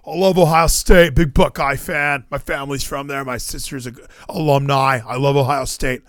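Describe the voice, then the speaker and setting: A man's voice: medium pitch, normal speed, dry recording, clean studio sound. An American man in casual sports chat, rambling and opinionated, swearing freely as he goes. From Hawaii, United States. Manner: rambling, animated, profane, opinionated.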